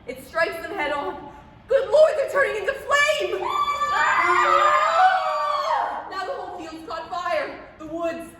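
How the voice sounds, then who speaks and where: An awestruck, dramatic field reporter played by a woman gives a breathless eyewitness account in a radio play. The sound is very echoey and set back from the mic, with clear background noise. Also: very high-pitched, big pitch swings, takes it slowly, far from the mic, exaggerated voice. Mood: alarmed, panicked. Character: male radio field reporter, awed then panicked eyewitness. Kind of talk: staged reading of 1938 radio drama. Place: public library room, staged reading.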